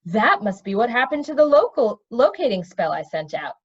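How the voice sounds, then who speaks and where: Woman reading as impulsive king, lively delivery. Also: on the high side, moderate pace, breathy edge, highs cut off. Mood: sudden urgency.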